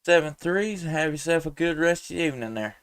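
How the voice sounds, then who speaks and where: A man's voice: a little high, lively intonation, fast, background noise. A man working as an amateur radio operator on a live stream, folksy and courteous, using radio jargon and spelled-out call signs. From southern Middle Tennessee, USA. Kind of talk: ham radio calling and casual contacts, live streamed.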